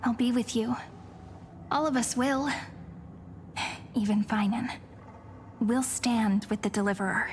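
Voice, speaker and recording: A woman voicing a gentle, devoted young game heroine, earnest and reassuring. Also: a little high, measured pace, pauses often, slight background noise, natural voice. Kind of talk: video game voice acting. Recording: game dialogue under clear music.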